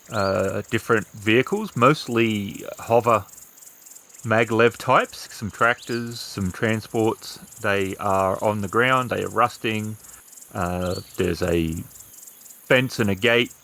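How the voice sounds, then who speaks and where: A man working as game master, describing scenes in a measured way with pauses during a tabletop roleplaying game. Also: fairly low pitch, pushed and bright, a little hiss or hum.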